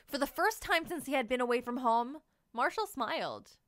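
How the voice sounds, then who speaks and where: A woman narrates a picture book for children in a fairly high, bright voice. Her delivery is expressive and dramatic, stretching key words, and the recording is close and dry. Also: moderate pace, no echo. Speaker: children's story reader and channel host.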